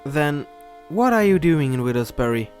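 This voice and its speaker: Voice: medium pitch, very animated, moderate pace, darkish tone, lightly acted. A woman voicing a curious, polite nine-year-old boy in a storybook, with an eager but sometimes shy, hesitant manner. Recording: music behind the voice.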